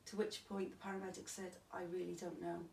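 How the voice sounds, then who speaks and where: A woman with a Welsh accent and a croaky, gravelly voice, telling her own story plainly in an interview. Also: fairly low pitch, flat delivery, brisk, warm tone. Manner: plain, matter-of-fact telling with restarts. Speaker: interviewee recounting a stabbing. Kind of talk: candid personal testimony. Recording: echoey room, distant mic, faint hiss.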